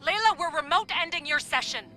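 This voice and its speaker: Game casting: anxious support teammate, high female voice, urgent, over music. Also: animated, a little fast, bright, forceful tone. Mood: alarmed. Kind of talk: video game voice acting.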